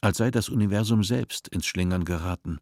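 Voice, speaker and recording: A man narrating a radio play in a calm, measured storytelling manner. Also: lowish voice, no room sound, studio quality. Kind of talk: scripted narration.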